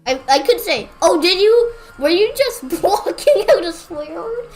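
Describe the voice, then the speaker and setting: High-voiced giggly woman gaming. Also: lively intonation, unhurried, faint music behind. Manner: giggly, playful, defensive banter. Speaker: gaming streamer. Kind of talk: casual gaming commentary.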